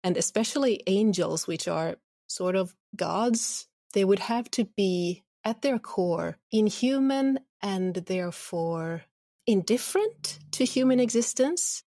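A woman's voice: mid-range voice, lively intonation, slow pace, warm tone, no room sound, studio quality. A woman chatting casually as an interview guest, with a wry, playful manner. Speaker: audio drama creator, podcast guest.

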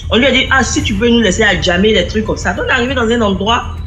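Give me a young woman, talking fast and rambling. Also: on the high side, fairly bright tone, slight background noise, rough recording. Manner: rambling, repetitive, breathless telling. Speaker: caller telling a story. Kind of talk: casual spoken testimony.

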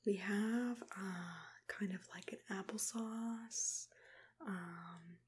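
A young woman whispering gently and soothingly close to the mic, in an ASMR roleplay as a dentist. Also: mid-range voice, steady tone, very slow, a little hiss or hum. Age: young adult. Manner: soothing, gentle, hesitant murmured phrasing. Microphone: close to the microphone.

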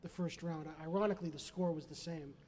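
A man's voice: on the high side, steady tone, fast, darkish tone. A man asking a reporter's question at a press conference in an echoey hall. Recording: echoey hall, faint room noise.

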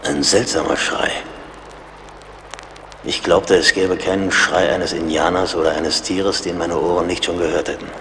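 A man's voice: deep voice, fairly even intonation, normal speed, a bit bright. A man playing a middle-aged, wry and watchful frontier scout in a German audio drama. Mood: grave, assured. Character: seasoned frontier scout, wry, plain-spoken, watchful, loyal. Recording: reverberant, noisy outdoor-like ambience.

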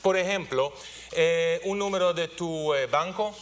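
An Israeli-accented man in his thirties, a native Hebrew speaker, performing as a guest mentalist with halting, broken, repetitive patter. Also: on the high side. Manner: halting, broken speech with repeated words. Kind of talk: unscripted stage patter on a talk show. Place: television studio. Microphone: lapel mic. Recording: faint background studio noise.

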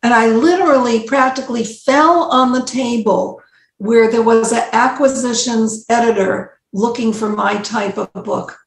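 A woman's voice: on the high side, unhurried. An older woman chatting casually about her own experiences over a video call. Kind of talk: casual personal chat. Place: home room, indoors. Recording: roomy, echoey video call.

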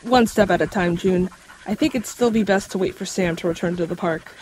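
A woman playing a bookish, cautious young folklore expert in an audio drama, talking in a measured, explanatory way. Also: medium pitch, a little mellow, a little hiss or hum, natural voice, low-quality recording.

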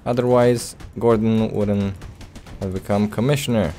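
A man's voice: fairly low pitch, fairly even intonation, a little slow, warm tone, faint music behind. A man doing casual gameplay commentary with a hesitant, rambling, playful delivery. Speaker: let's play host.

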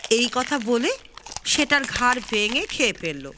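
Animated woman storyteller, slightly high voice. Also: a little slow, noisy background. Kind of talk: expressive children's story narration. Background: background music.

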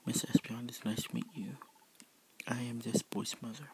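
A man's voice: mid-range voice, fairly even intonation, normal speed, gravelly, slight background noise, close to an everyday voice. A man whispering the part of a courteous, concerned mother creature in a read-aloud story.

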